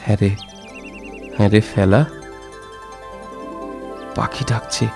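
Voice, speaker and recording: A man's voice: on the low side, steady tone, takes it slowly, creaky, music in the background. A man playing a poor, grateful but bold wanderer in a children's cartoon fairy tale, speaking earnestly and expressively.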